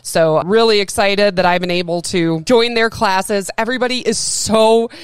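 A woman's voice: medium pitch, fairly even intonation, moderate pace, clean tone, no echo. A woman hosting a podcast, talking in a chatty, upbeat way with frequent laughs, with the ease of a former radio broadcaster. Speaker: podcast host. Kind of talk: casual solo podcast monologue.

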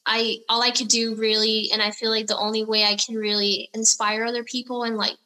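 Woman, interview guest, higher pitch, forward bright tone, casual, informal, filler-heavy phrasing. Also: little pitch movement, a little fast. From born in Laredo, Texas, raised between there and Central America. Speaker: interview guest, homelessness activist. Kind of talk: casual chat.

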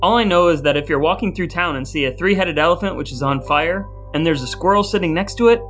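A man's voice: on the high side, fast, fairly bright tone, soft background music. A man hosting a podcast, moving between scripted introductions and casual banter. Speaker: podcast host.

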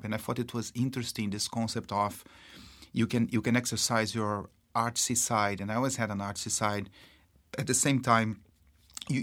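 A man from Brazil with a Brazilian accent, a marketing executive speaking as an interview guest in a halting way, full of fillers and restarts. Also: on the low side, a bit bright. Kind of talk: casual interview talk.

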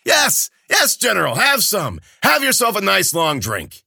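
A loud, jovial bear of a man who bellows his lines high and hearty, always half a laugh away. His voice leaps wildly up and down, bright and forceful, recorded dry and close. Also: wildly swooping pitch, measured pace, gravelly, slightly rough, no echo, exaggerated voice. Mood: jovial, roaring. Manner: booming laughter, loud and teasing. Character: boisterous hairy male healer, jovial drinker. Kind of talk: audiobook character voice.

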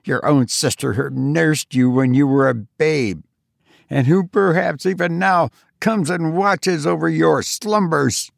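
A harsh, miserly old woman, voiced by a man reading aloud, speaks in a grim, suspicious tone. The voice sits well above a man's usual pitch, with a gravelly grain. The delivery is slow and the sound is dry and close. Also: no echo. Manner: grim, sharp, exasperated.

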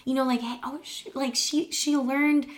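A woman's voice: high voice, steady tone, normal speed. A woman in her thirties co-hosting a podcast, chatting casually with many fillers and laughing easily. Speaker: podcast co-host. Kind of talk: casual chat.

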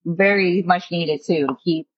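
A woman's voice: lowish voice, a little slow, slightly rough, no echo, highs cut off. Easygoing woman podcast co-host. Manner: easygoing, says "you know" a lot. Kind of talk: casual podcast chat.

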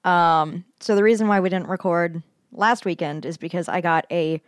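A woman's voice: lowish voice, normal speed, dry recording, clean studio sound. A woman co-hosting a casual podcast, still getting over a sinus infection, her voice going in and out, blunt and swearing casually. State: recovering from sinus infection, voice goes in and out. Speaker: podcast co-host. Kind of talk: casual chat.

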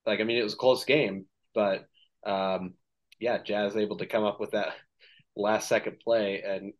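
A man's voice: fairly low pitch, moderate pace. A man hosting a casual sports podcast, recapping games with plenty of ums and filler, his voice a little worn. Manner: relaxed, filler-heavy recap of game stats. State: slightly losing his voice. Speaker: podcast host.

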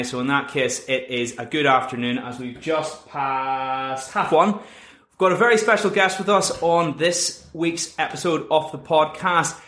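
A man's voice: fairly high pitch, a bit bright. A man hosting a podcast, chatty and upbeat in casual conversation. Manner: chatty, upbeat, British colloquial. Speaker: podcast host. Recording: echoey room.

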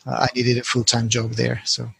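A man's voice: mid-range voice, completely flat delivery, normal speed, vocal fry, fairly bright tone, slight background noise. A middle-aged man raised in Colombia and England, answering interview questions hesitantly and repeating words as he thinks. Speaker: guest author.

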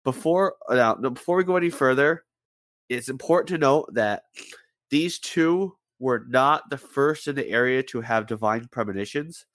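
A man's voice: mid-range voice, moderate pace, fairly bright tone, no room sound. A man with a head cold hosting a casual podcast, rambling through a story and stumbling over names as he swears offhandedly. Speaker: podcast host.

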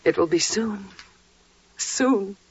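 Slow, husky, devout older wife. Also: female voice, mid-range voice, full character voice. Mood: calm. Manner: reverent, slow, deliberate. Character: devout older biblical wife, expectant mother, quietly joyful. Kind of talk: 1940s radio drama acting. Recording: muffled, dry vintage 1940s radio recording.

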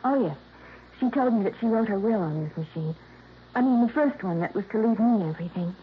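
An actress in her twenties playing a resentful, sharp-tongued young woman in a 1950s radio drama. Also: medium pitch, animated, fairly quick, close to an everyday voice. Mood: nervous. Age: 20s. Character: bitter young woman, niece cut from will. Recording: tinny old radio broadcast, faint hiss.

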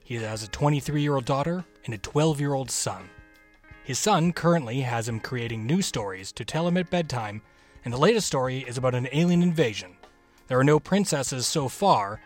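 A man's voice: medium pitch, lively intonation, moderate pace. Male podcast host over music. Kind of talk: scripted introduction.

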